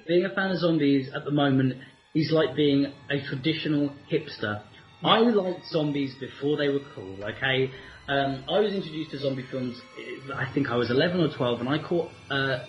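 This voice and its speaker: A man's voice: mid-range voice, lo-fi sound. A British man co-hosting a casual podcast chat, talking enthusiastically with frequent ums. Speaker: co-host.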